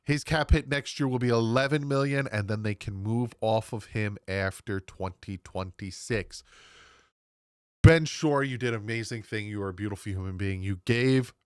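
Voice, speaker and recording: A man in his thirties with a lowish voice, thick and nasal from a head cold. He chats to his viewers in a loose, rambling way with quick asides. The sound is dry and close on a desk microphone. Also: clean tone, no room sound. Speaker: sports channel host. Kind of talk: casual solo sports commentary. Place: home room.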